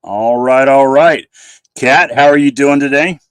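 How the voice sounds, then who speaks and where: A man's voice: medium pitch, measured pace. A man casually hosting a panel livestream, his voice a little scratchy from a cold.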